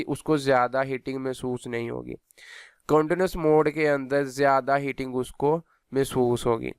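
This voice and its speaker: A man's voice: medium pitch, clean tone, dry recording, clean studio sound. A man giving an instructional physiotherapy lecture, explaining in a teacherly way and mixing in English technical terms. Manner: switches between Hindi and English technical terms.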